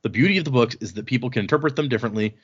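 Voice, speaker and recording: A man's voice: mid-range voice, rapid-fire, husky, dull audio. A man from Texas hosting a podcast, chatting casually and reading out listener mail. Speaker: podcast host.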